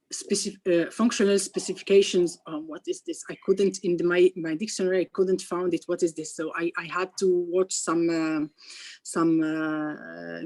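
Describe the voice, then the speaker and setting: Interview guest, woman, first language Arabic, low-pitched, hesitant and full of restarts, video call. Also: darkish tone. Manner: halting, many restarts and fillers. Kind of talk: casual interview conversation.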